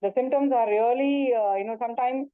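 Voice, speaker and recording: Earnest yoga teacher on a tinny call. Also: female voice, on the high side, fairly quick, no room sound. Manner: earnest, many fillers and restarts. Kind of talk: webinar talk and Q&A. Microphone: video call. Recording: tinny phone-like call audio.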